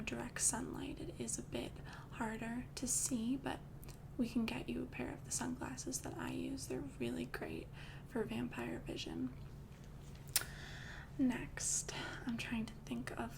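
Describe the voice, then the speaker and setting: A young woman voicing a calm female vampire girlfriend in an ASMR roleplay, talking in a soft, chatty, reassuring whisper. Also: a little high, gravelly, background noise. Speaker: ASMR creator.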